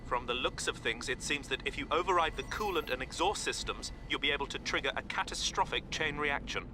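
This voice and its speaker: An actor playing an efficient intelligence technician in a video game, briefing an agent in a precise, businesslike way. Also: male voice, on the high side, brisk, natural voice. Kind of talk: cinematic video game acting. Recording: clear vehicle and ambient noise.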